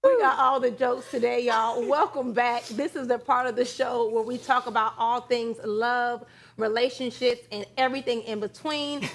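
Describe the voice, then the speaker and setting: A woman's voice: on the high side, fairly even intonation, moderate pace. An American woman hosting a panel talk show, speaking informally and assertively.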